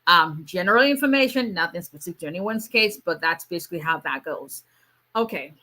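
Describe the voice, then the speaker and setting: Woman immigration attorney, bright voice, casual live Q&A. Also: lowish voice, expressive, brisk. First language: Yoruba. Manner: conversational, many fillers, reads out viewer questions. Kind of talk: live Q&A stream.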